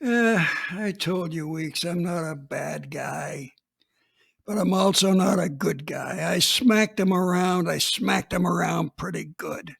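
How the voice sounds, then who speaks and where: Man doing a gruff old police chief in his eighties, gravelly, slow, high-pitched for a man, blunt and unapologetic. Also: fairly bright tone, exaggerated voice. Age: 80s. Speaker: retired police chief telling a case. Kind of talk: radio drama acting.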